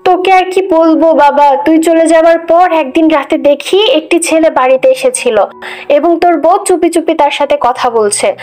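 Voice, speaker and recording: A woman's voice: very high voice, fairly quick, darkish tone. A woman voicing a cruel, two-faced older mother-in-law in a cartoon, scolding and conniving. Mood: feigned concern. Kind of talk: Bengali moral-story cartoon voice acting. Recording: music bed under the voice.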